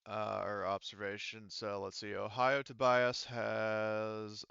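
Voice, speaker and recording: Gravelly low-voiced man running a game. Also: level tone, slow pace, sharp tone, no echo, muffled recording. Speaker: game master. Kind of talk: casual tabletop game narration.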